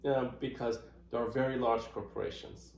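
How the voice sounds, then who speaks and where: Gravelly man from Iran, roomy echo. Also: medium pitch, fairly even intonation, moderate pace. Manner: thoughtful, many fillers and restarts. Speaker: interviewee, marketing professional. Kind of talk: casual interview answers. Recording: roomy echoey room.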